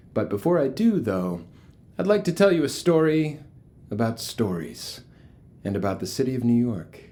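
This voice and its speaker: An actor playing a charismatic, ominous cult leader in a horror audio drama, preaching grandiosely with rhetorical pauses. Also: male voice, mid-range voice, a little mellow. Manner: theatrical, grandiose, pausing for rhetorical effect.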